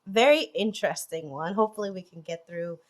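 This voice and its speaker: A woman's voice: fairly low pitch, fairly quick. A young woman hosting a casual chat about church practice, asking questions and laughing often. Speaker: podcast host. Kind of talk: casual religious discussion.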